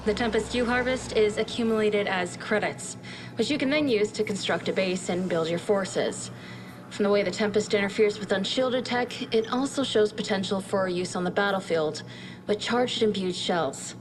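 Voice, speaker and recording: A woman playing a brisk, businesslike research doctor in a video game, explaining in a precise, matter-of-fact and dryly exacting way. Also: medium pitch, noisy background, natural voice. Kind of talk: video game cutscene briefing. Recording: music playing behind.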